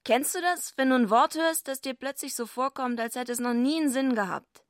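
A woman acting in a radio play as a tough, bitter, streetwise heavyweight wrestler, gruff, blunt and slangy. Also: sits high, brisk, close to an everyday voice. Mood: wistful, hurt.

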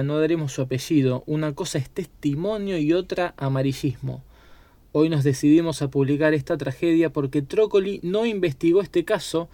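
A man's voice: on the high side, dark tone, dry recording. A man narrates a documentary. He reads from a script, delivering reports as a narrator. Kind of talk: scripted narration, reading press reports aloud.

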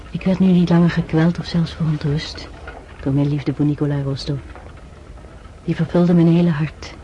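An actress playing an earnest, tender young noblewoman in a radio play, speaking in a measured, formal, softly emotional way. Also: sits low, dull audio, slight background noise, lightly acted. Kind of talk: Dutch radio play acting and first-person narration.

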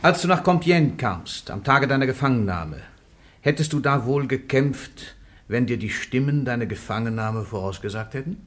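A man's voice: mid-range voice, animated, moderate pace, a bit bright, lightly acted. A man acting an insistent, learned church interrogator in a German radio play, urging and pressing. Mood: stern, pressing.

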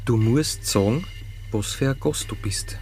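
Actor as a needling Austrian sidekick, mid-pitched. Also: male voice, a little slow, darkish tone, a little hiss or hum, close to an everyday voice. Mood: coaching. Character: doubting, needling sidekick coaching his partner in crime. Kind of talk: naturalistic radio drama acting.

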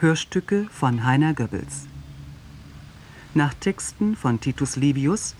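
Man, slightly high voice, scripted credit reading, drawn-out slow pace, light noise behind. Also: animated, darkish tone. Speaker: announcer of title and credits. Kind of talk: scripted title announcement.

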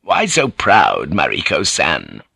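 A man's voice: on the low side, normal speed, bright, forceful tone, dry recording, clean studio sound. A man voicing an old warlord who talks bluntly, testily and wearily. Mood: gruff. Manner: blunt, testy, weary. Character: old male warlord, shrewd, gruff and testy. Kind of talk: audiobook character voice.

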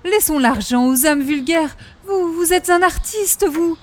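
A woman's voice: very high-pitched, measured pace. A woman playing an effusive, romantic middle-aged busybody in an old-time radio comedy, prim, dramatic and flowery. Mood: gushing.

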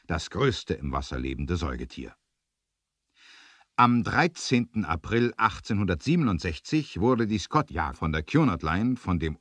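A man's voice: deep voice, no room sound. A man narrating an adventure audio drama in a theatrical, emphatic storytelling manner, as on a 1970s studio record.

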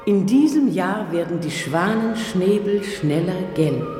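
Radio play role: a nostalgic woman, unhurried, animated intonation, hall reverb. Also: on the low side. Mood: reminiscing. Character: nostalgic woman lost in memories. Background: music.

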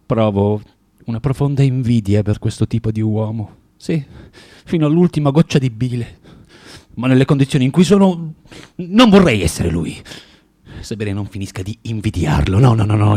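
An actor playing a bitter, tormented man of forty in a theatrical radio monologue, halting and repeating himself as he swings between muttering and outbursts. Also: on the low side, a little mellow. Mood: envious, agitated. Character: bitter, tormented forty-year-old underground man, spiteful and self-contradicting.

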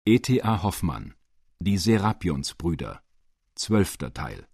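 Deep husky guy announcing radio credits, slow and formal, dry studio. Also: very animated, a little mellow, dry recording.

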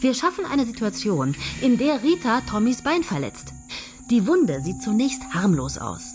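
Female soap head writer, decisive and provocative, very lively intonation. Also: a little high, normal speed, faint music behind. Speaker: head writer leading a meeting. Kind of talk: radio play dialogue.

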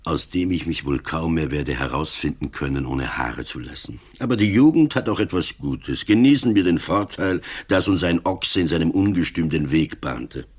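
An actor playing an old police commissioner in a radio play, cool, dry and sardonic in a deliberate way. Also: male voice, extremely low pitch, lively intonation, a little mellow, slightly rough, full character voice. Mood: wry, measured. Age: older.

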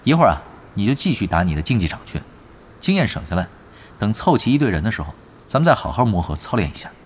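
A man's voice: lowish voice, big pitch swings, quick pace, slight background noise. An actor playing a patient, teasing veteran gamer who coaches his team in an audio drama. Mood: instructive. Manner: instructive, drily teasing. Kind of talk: Chinese radio drama acting.

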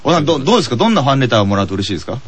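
A man's voice: mid-range voice, big pitch swings, rapid-fire, breathy edge. A man co-hosting a radio show, chatting casually and steering things along between bits of banter.

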